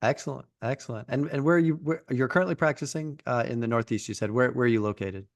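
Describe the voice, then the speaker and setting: A man's voice: medium pitch, fast, dry recording, studio quality. A man hosting a podcast interview, asking questions in a friendly way with many fillers and restarts. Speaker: podcast host.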